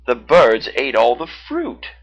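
A gentle brown bear from a bedtime story, unhurried and reassuring, voiced by the storyteller. The tone is husky and the recording muffled. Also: mid-range voice, faint music behind, exaggerated voice. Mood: calm. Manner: slow and steady. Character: calm, kindly brown bear. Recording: dull lo-fi.

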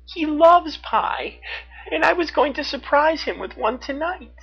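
Narrator putting on a tiny girl voice, squeaky and breathless, husky edge, dull lo-fi sound. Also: wildly swooping pitch, slow pace, exaggerated voice. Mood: girlish. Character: timid sweet little girl.

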